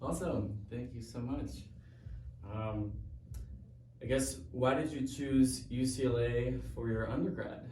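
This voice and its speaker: A man's voice: mid-range voice, a little slow, dark tone, distant mic, a little hiss or hum. A man hosting a podcast, giving a formal, admiring introduction and asking interview questions. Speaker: podcast host. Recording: echoey room, voice from off mic.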